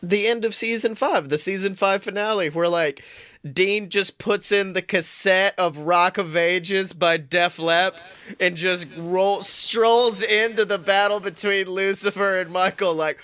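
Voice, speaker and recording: A man co-hosting a podcast in casual chat, animated, swearing freely and laughing often. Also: high-pitched, measured pace, clean tone. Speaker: podcast co-host.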